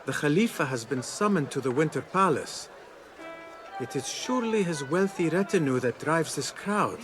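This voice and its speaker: An actor playing a young street thief in a video game, idealistic, eager and a little cocky. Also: male voice, on the high side, lively intonation, moderate pace, music in the background, natural voice. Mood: playful, confident. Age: young adult. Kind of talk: cinematic video game acting.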